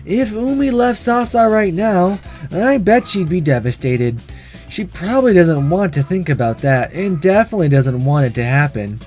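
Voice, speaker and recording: A man's voice: sits high, a little mellow. A man voicing a sleepy, detached schoolgirl who narrates a visual novel in a weary, blunt, matter-of-fact way.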